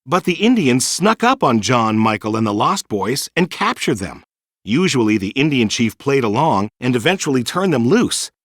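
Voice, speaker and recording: A man narrates a children's story with lively, wide-swinging intonation. His mid-pitched voice is recorded dry, and he paces the tale clearly and expressively for young listeners. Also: moderate pace, dry recording. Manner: expressive, clearly paced read-aloud storytelling.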